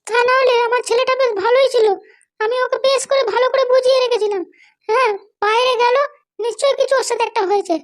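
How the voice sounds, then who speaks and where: A woman's voice: very high-pitched, steady tone, fast. A woman voicing a doting but bossy mother in a cartoon, fretful, insistent and nagging. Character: doting, anxious, bossy mother of a grown son. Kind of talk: cartoon dialogue.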